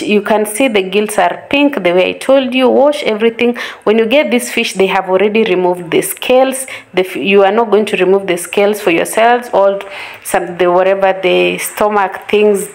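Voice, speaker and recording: A woman's voice: medium pitch, creaky. A woman with a Kenyan English accent talks a home audience through kitchen prep in an easy, instructive way, often repeating her points. She is recorded at her kitchen sink by a camera set on the counter. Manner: instructive, repeats advice for emphasis. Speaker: home cooking vlogger. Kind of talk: casual how-to narration.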